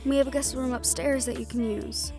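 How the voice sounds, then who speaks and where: Woman playing a high-voiced, protective occult mentor. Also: fairly even intonation, a little fast, over music. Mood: gentle. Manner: reassuring but firm, explanatory. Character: protective, knowledgeable female occult investigator and mentor. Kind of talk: audio roleplay acting.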